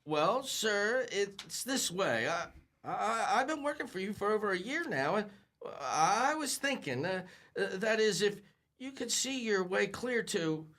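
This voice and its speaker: A man's voice: sits high, very animated, unhurried, bright, forceful tone, full character voice. A young man playing a bashful, timid store clerk in a radio play, stammering and repeating his words. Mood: nervous. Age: young adult. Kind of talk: 1940s radio acting.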